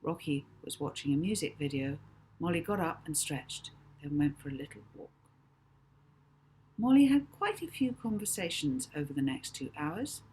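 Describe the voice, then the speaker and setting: A woman reading a children's novel aloud as expressive audiobook narration. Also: sits low, moderate pace, slight background noise, poor audio.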